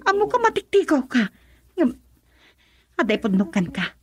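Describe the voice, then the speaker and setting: A woman's voice: high-pitched, big pitch swings, moderate pace, breathy edge, exaggerated voice. An actress playing a distressed young woman in a radio drama, confessing and pleading urgently. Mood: guilty, pleading.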